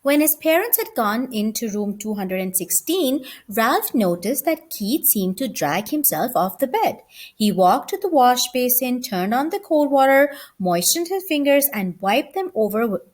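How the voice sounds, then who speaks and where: A woman reading a children's story aloud as an expressive narrator. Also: a little high, a little slow.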